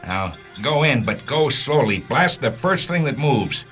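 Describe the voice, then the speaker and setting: A man's voice: medium pitch, big pitch swings, measured pace, a bit bright. An actor playing a driven, impatient man on a hunt in a science fiction radio drama, urgent and commanding. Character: driven, impatient man hunting a renegade car. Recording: music behind the voice.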